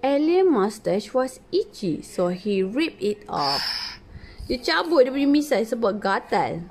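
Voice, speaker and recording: A woman's voice: a little high, very animated, unhurried. A Malaysian woman, a native Malay speaker, reads a picture book to a young child, explaining it simply with repetition. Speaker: parent reading to a young child. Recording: noisy home phone recording.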